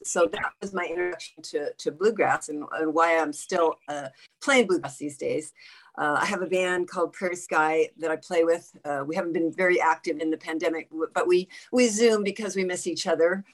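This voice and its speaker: A woman in her sixties from coastal Los Angeles talks casually in a lowish voice on a group video call. She rambles, repeats words and starts her sentences over. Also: low-quality recording. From South Bay of Los Angeles. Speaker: bluegrass musician and guest.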